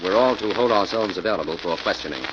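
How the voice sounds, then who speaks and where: Actor as a steady, very deep-voiced man, old radio. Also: fast talker, natural voice. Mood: grave, firm. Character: steady, practical man taking charge after a death. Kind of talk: 1940s radio mystery acting. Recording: muffled old radio recording, heavy crackle.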